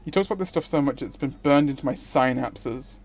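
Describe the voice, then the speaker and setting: Livestreamer, visual novel read-aloud, casual asides, mid-pitched voice, music underneath. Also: fairly quick, a little mellow.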